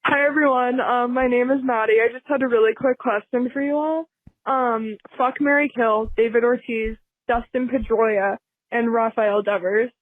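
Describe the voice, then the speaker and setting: A woman's voice: fairly high pitch, fairly even intonation, moderate pace, no room sound. A woman leaving a polite, casual question as a caller on a dull-sounding phone voicemail.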